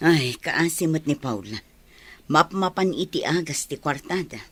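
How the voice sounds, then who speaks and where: A woman's voice: low voice, animated, a little slow, a bit bright, breathy edge, heavily acted. A kind village neighbor lady in a radio drama, concerned about folks. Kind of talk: Filipino radio drama acting.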